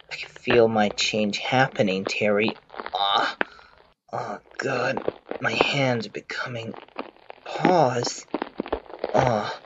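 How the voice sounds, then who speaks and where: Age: adult. Figure: a man doing an eager young friend. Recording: lo-fi. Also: mid-range voice. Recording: dull lo-fi home recording with clear hiss.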